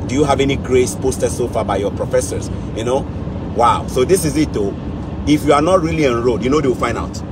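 A middle-aged man telling a story casually while driving, acting out the dialogue with quoted voices. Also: medium pitch. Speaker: vlogger. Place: car on a snowy highway. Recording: clear car road noise. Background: road and engine noise.

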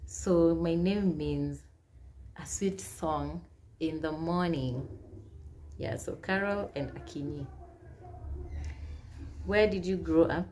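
A young woman with a Kenyan accent speaks in a deep, low voice, reading out questions and answering them in a relaxed, chatty way. Swahili phrases slip into her talk now and then. She sits close to the camera in a small room, and a faint hiss runs under the recording. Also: takes it slowly, long pauses. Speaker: YouTuber. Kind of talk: casual Q&A vlog. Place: small indoor room, seated at a plain wall.

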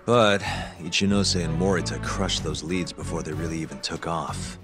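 A man's voice: deep voice, fairly even intonation, moderate pace. An actor playing a wry, dogged investigator in his thirties in a video game, talking casually. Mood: weary, frank. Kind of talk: video game cutscene acting. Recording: music behind the voice.

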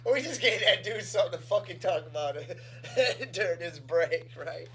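A man's voice: high voice, lively intonation, moderate pace, fairly bright tone, slight background noise. A man in his thirties co-hosting a comedy podcast with casual, crude banter. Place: indoor room at a desk. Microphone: podcast microphone.

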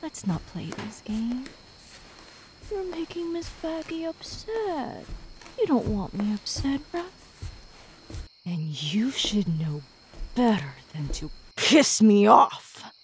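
A sadistic, possessive demon woman for an ASMR roleplay, stalks through her words at an extremely slow pace. Her delivery is theatrical and swings widely in pitch, moving between whispers and menacing outbursts. A faint noise sits behind the voice. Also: very animated, poor audio. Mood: irritated, threatening.

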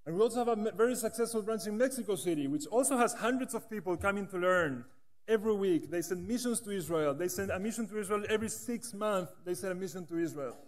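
A man's voice: sits high, expressive, fast talker. A man, telling a story earnestly in a conference speech at a podium microphone in a large hall. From Latin America. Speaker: rabbi, branch director.

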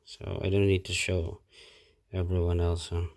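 A middle-aged man with a Filipino accent, off camera in a carpeted room, speaking in a halting, casual way with many fillers and restarts as he shows an item to a handheld phone camera. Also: low voice, completely flat delivery, a little mellow. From Philippines. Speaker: product reviewer. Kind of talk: casual unboxing commentary.

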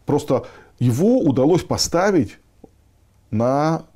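Man in his fifties, mellow tone, lively intonation, emphatic, repetitive phrasing, conversational interview. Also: on the high side, slow. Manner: emphatic, repeats phrases, acts out quotes. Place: furnished office, seated at a table.

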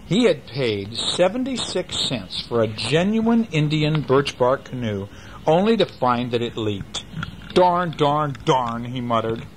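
A man's voice: medium pitch, sing-song, measured pace, slight background noise, low-quality recording. Older man reading a bedtime story. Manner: gentle, chatty, asks the child questions.

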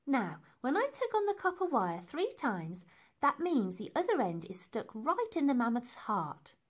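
A woman voicing a bossy, quick-witted young girl in a storybook, speaking in a brisk, emphatic, instructing way. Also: high-pitched, very animated, slightly rough, voice pushed up, exaggerated voice. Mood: urgent, instructing.

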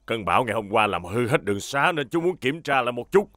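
A man's voice: mid-range voice, very animated, fast talker, fairly bright tone, no room sound, studio quality. A man voicing a stern but caring middle-aged builder in a children's cartoon, scolding and instructive. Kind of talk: animated children's cartoon dub.

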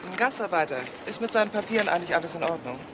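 A woman's voice: lowish voice, expressive, racing, lightly acted. An actress playing a cool, persistent female detective in a German radio crime play, businesslike and probing. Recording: noisy outdoor urban ambience behind.

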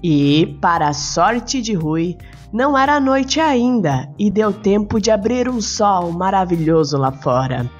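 A woman's voice: medium pitch, lively intonation, unhurried, lo-fi sound. A Brazilian woman hosts a children's bedtime story podcast. She narrates and comments in a chatty storyteller's way, with music behind her.

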